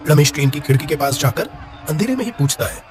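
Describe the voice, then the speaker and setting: A man's voice: fairly high pitch, big pitch swings, quick pace, a little mellow, slight background noise. A man narrating a scripted horror story dramatically.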